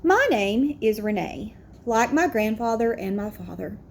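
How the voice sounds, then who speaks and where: A woman voicing a proud, earnest schoolboy in an expressive picture-book read-aloud. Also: a little high, creaky, slight background noise. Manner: expressive, deliberate storytelling. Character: proud, earnest young schoolboy narrating his story.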